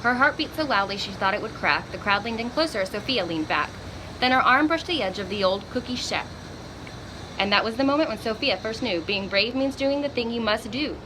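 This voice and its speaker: A woman reading a children's picture book aloud in an expressive storytelling manner, with little asides to young listeners. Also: on the high side, a little fast, noisy background. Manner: expressive, acts out exclamations and dialogue.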